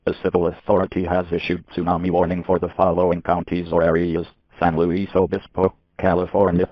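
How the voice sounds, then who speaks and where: A man reading a scripted emergency warning as an alert announcer, in a stiff, formal, deliberate manner. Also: deep voice, monotone. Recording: dull lo-fi broadcast audio.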